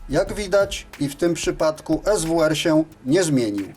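A man's voice: fairly high pitch, expressive, a little slow, a little mellow, soft background music. A man giving scripted, methodical and matter-of-fact instructional narration as the presenter of a technical tutorial.

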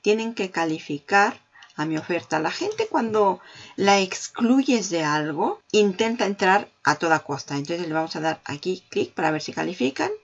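A woman hosting a marketing tutorial, walking the viewer through software on screen and talking straight to them. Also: lowish voice, very animated, moderate pace, lo-fi sound. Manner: explanatory, addresses viewer directly. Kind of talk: screen-recorded software walkthrough.

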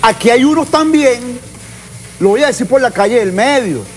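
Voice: mid-range voice, animated, normal speed. A Venezuelan reporter on a political show, discussing off the cuff and insistently repeating points for emphasis.

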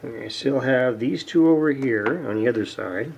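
A man giving unscripted, casual narration of hands-on repair work, halting and muttering to himself as he goes. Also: mid-range voice, vocal fry, darkish tone, a little hiss or hum. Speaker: hobbyist radio repairer, video host. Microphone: camera mic near the workbench.

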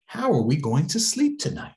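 A man's voice: a little high, wildly swooping pitch, moderate pace, dark tone, voice pushed up. An American man voicing a scared, fretful little girl from a picture book, with worried, swooping exclamations. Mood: frightened.

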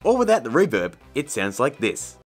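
A man hosting a casual, scripted software tutorial in a jokey, slangy, informal way. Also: medium pitch, huge pitch swings, normal speed. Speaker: tutorial host.

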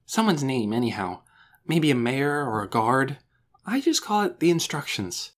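A woman voicing an excitable, insistent and earnest young girl in a children's audiobook, eager and emphatic. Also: a bass voice, voice pushed up, full character voice. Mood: earnest, explaining. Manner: eager, emphatic, words rushing out. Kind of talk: children's audiobook character dialogue.

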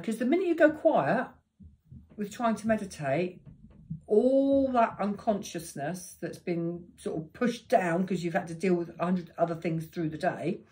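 A woman in her sixties with a croaky voice, giving practical meditation tips to the camera in a chatty, unhurried way from her living room. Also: on the low side, darkish tone. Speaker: meditation teacher. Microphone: camera in front of her.

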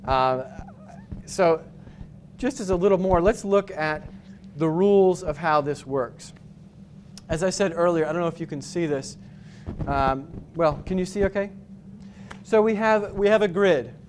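A man from New England giving a conference talk with slides, explaining step by step with frequent pauses and restarts. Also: sits high, a little hiss or hum. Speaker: conference speaker. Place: hotel conference room with projector screen.

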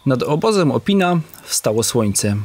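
A man's voice: medium pitch, very animated, a little slow, a little mellow. A man giving solemn, scripted narration for a comic-book audio drama.

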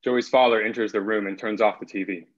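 A man's voice: lowish voice, fairly even intonation, quick pace. A young man speaking as the narrator of a table read over a video call. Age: young adult. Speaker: narrator and actor. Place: plain room at home. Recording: dull video-call audio.

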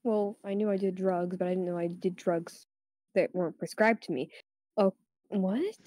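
A woman's voice: medium pitch, steady tone, moderate pace, dark tone, no echo, close to an everyday voice. A woman playing a bewildered, anxious little jester in a cartoon fandub, hesitant and flustered. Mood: confused.

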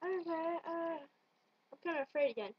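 A woman's voice: very high-pitched, normal speed. A young woman chatting casually and goofily with a friend, giggly and sweary, on a webcam mic in a bedroom. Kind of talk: casual goofy chat with a friend. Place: small bedroom, indoors. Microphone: webcam or laptop mic at arm's length. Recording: dull lo-fi webcam audio.

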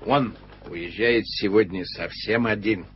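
An actor playing a gruff but friendly palace sentry with a folksy way of talking, in a radio play. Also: male voice, on the low side, slow pace, slight background noise. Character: gruff friendly palace guard, adult male. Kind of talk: 1980s radio play acting. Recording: dull old radio play recording.

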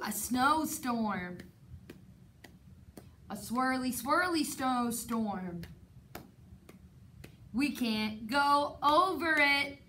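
Very slow, bright young woman storyteller. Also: high voice, a little hiss or hum. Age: young adult. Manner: slow, dramatic, sing-song delivery for young children. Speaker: teacher reading a picture book aloud. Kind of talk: children's storytime read-aloud. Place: indoor classroom, sitting on carpeted floor. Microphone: camera a few metres away.

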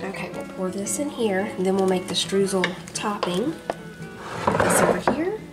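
A woman's voice: medium pitch, lively intonation, moderate pace, far from the mic, loud music behind the voice. A woman with a Southern US accent, hosting a home cooking vlog and chatting with viewers as she cooks. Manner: chatty, addresses viewers as y'all. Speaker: vlog host, home cook. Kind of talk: casual cooking vlog narration. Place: home kitchen.

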